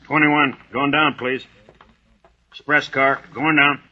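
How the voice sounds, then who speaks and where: An actor playing an elevator operator calling out floors in a rote, sing-song way in an old radio drama. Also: male voice, mid-range voice, steady tone, takes it slowly, highs cut off. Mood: routine.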